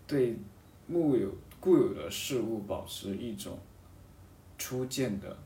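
A man chatting casually and reflectively as a guest, thinking aloud in a halting way and often repeating his phrases. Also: medium pitch, animated, warm tone, recorded from a distance. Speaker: podcast guest talking about a hobby of seal carving. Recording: roomy echo, off-mic, faint background noise.

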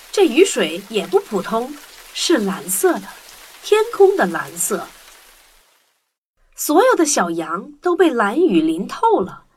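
Animated woman narrating a children's story. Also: medium pitch.

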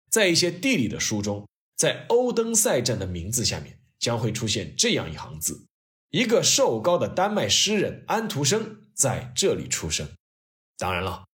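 A man tells a scripted story as a narrator. His mid-pitched voice moves widely up and down in intonation. The delivery is expressive and conversational, in the manner of a storyteller. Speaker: host narrator of history stories.

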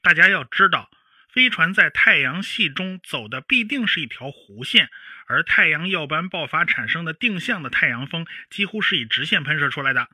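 Radio drama expert: didactic professor, highish voice, swooping emphasis, dry close recording. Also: male voice, lively intonation, moderate pace, bright, forceful tone, breathy edge, dry recording, heavily acted. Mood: measured, explanatory. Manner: didactic, emphatic, with homely particles. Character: animated, explanatory male professor of spaceflight. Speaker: spacecraft chief designer, expert guest.

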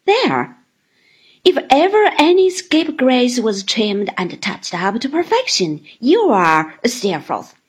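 A woman voicing a sly, volatile little woman hairdresser who rattles on with teasing exclamations, in a breathy voice. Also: on the high side, sing-song, slow, voice pushed up, full character voice.

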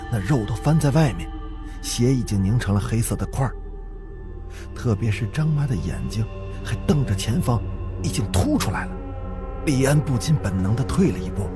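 A male storyteller delivers dramatic horror narration. His voice sits fairly low and moves expressively, holding suspense, with quiet music underneath. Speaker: host and storyteller.

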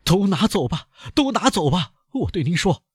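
A man voicing a frantic aging male banker held captive, husky and shrill, pleading and desperate in a storybook reading. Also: huge pitch swings, a little slow, dry recording, clean studio sound, exaggerated voice.

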